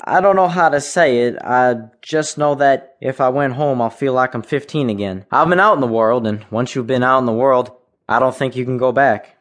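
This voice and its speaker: A man's voice: mid-range voice, moderate pace, fairly bright tone, natural voice. An actor playing a sincere, reflective, melancholy young man in a western audio drama. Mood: earnest, troubled. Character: earnest, melancholy young man of the Old West. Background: music.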